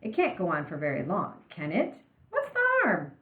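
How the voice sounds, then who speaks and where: A woman's voice: fairly low pitch, huge pitch swings, normal speed, echoey room, phone-quality sound. A woman voicing an easygoing male teacher in a storybook, arguing persuasively and asking emphatic questions. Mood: earnest, pleading. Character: upbeat easygoing male teacher pleading for patience.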